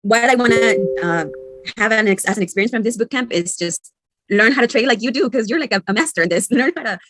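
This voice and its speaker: A woman from Mexico introducing herself on a video call, halting and repeating words. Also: on the high side, fairly bright tone. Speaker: course participant.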